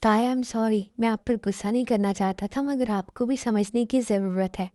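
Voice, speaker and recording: A woman's voice: fairly high pitch, moderate pace, warm tone, no echo, studio quality, lightly acted. A woman voicing a stern, lecturing young husband who calls his wife to account.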